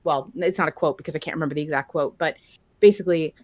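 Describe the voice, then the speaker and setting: A woman's voice: low voice, quick pace, a little mellow. A woman hosting a podcast in casual conversation, speaking informally and swearing freely. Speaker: podcast host.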